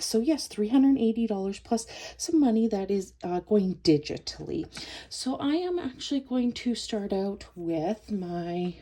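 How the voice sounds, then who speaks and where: A woman's voice: mid-range voice, dark tone, a little hiss or hum. A woman with a stuffed-up nose chatting casually as a budgeting vlogger, counting cash aloud as she goes. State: stuffed up from a cold.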